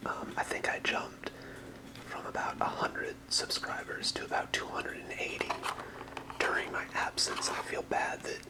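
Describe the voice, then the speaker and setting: A fully whispered voice in the style of a casual ASMR video, with no voicing in it. The speaker rambles softly and hesitantly through a card showcase, sounding apologetic and unscripted. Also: measured pace. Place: apartment room.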